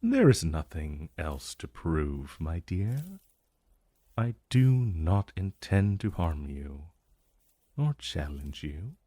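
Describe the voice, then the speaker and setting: An ancient male dragon acted out by a man speaks in a low, husky murmur, recorded close and dry. He is formal and silkily tender, with lingering pauses and a possessive, faintly threatening edge, as suits a romantic fantasy audio roleplay. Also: big pitch swings, mellow, no echo, full character voice. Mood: silky, indulgent.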